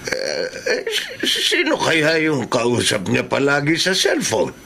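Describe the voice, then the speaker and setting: Older Filipino dad, creaky gravelly voice, practical, watchful, radio drama, slight background noise. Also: male voice, mid-range voice, huge pitch swings, slow pace, sharp tone, full character voice. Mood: hesitant, suspicious. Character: older father, practical and quietly suspicious. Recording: faintly noisy radio drama track.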